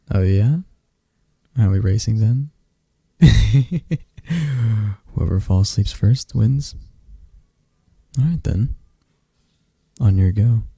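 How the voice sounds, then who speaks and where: Shy best friend, male, young adult, soft and stammering, husky and low, played by a man. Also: very slow, long pauses, dry recording, full character voice. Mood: playful. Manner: soft-spoken, stammering, gentle. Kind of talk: ASMR roleplay.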